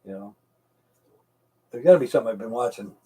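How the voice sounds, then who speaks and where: Middle-aged or older American male streamer, mellow and relaxed tone, blunt folksy rambling with plenty of swearing. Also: medium pitch, quick pace, breathy edge, far from the mic. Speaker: live stream host. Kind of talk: casual rambling chat with viewers.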